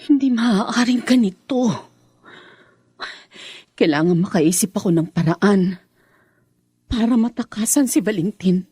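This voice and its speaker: A woman's voice: mid-range voice, expressive, measured pace, pauses often, warm tone, breathy edge, no room sound, clean studio sound. An actress playing a young woman held captive who pleads and schemes to escape, in a radio drama. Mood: scheming. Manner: pleading and agitated.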